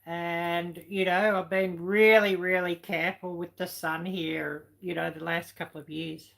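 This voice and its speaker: A middle-aged woman with a croaky voice chatting casually on a livestream, answering viewers with lots of ums and you knows. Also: on the low side, little pitch movement, unhurried, a bit bright. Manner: rambling with many fillers, chatty. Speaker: livestream host reading chat. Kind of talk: casual chat.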